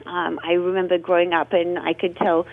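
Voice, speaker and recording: A woman's voice: lowish voice, little pitch movement, moderate pace. A woman, a guest in a radio interview, telling her story in a chatty, expansive way. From Australia. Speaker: radio guest, food blogger. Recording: tinny phone line.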